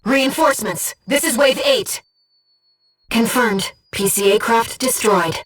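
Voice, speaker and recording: An actress playing a gentle, devoted female voice in a pilot's head, a composed and precise mission guide in a video game. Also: a little high, takes it slowly, far from the mic. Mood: urgent. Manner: composed, precise, earnest delivery. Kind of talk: video game voice acting, tactical briefing.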